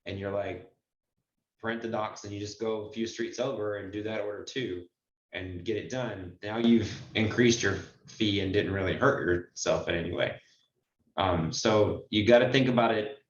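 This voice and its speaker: A man with a fairly low voice hosts an advice session over an online call. He explains things conversationally and with emphasis. The sound is roomy and somewhat dull. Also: fairly even intonation, normal speed, slight background noise. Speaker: host and notary trainer taking questions. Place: group video call.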